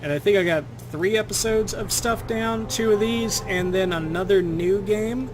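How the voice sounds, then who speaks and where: A young man, slightly hoarse, giving loose casual commentary over his gameplay, with some swearing. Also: high-pitched, normal speed, noisy background. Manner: loose, profane trash talk at enemies. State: slightly hoarse, itchy throat. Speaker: gaming channel host.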